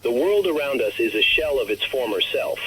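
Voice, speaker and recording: A man playing a grave narrator of a recorded message to the last human, speaking in a solemn, measured, formal way for an analog horror story. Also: medium pitch, big pitch swings, a crowd in the background, lightly acted, rough recording.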